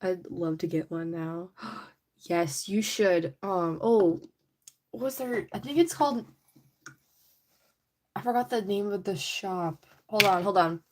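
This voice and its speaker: A young woman hosting a casual livestream, chatty and giggly, her voice a little raspy from allergies. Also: medium pitch, takes it slowly, long pauses, darkish tone. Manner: chatty, giggly, rambling with many "like"s. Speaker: livestream host. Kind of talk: casual livestream chat while painting a figure. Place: indoors at home.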